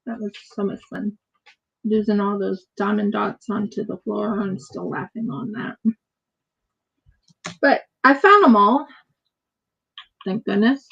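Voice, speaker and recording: A woman's voice: mid-range voice, unhurried, creaky, long pauses, fairly bright tone, husky, highs cut off. An American woman chatting casually and rambling as she crafts on a livestream, often repeating her words. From United States. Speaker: craft streamer.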